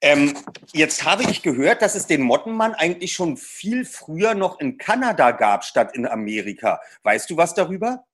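Man, host, interviewer, slightly higher voice, husky, friendly. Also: sing-song, moderate pace, a bit bright. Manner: friendly, asks questions with fillers. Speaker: podcast host.

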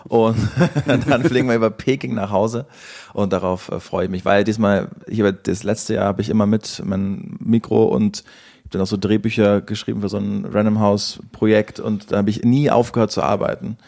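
A man's voice: on the low side, fairly even intonation, moderate pace, creaky, darkish tone. A man chatting casually as the host of a podcast. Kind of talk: casual chat.

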